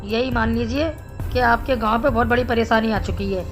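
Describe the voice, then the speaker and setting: A woman voicing a concerned male village doctor in an animated Hindi story, explaining things in a measured way. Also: on the high side, natural voice. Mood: grave. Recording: music behind the voice.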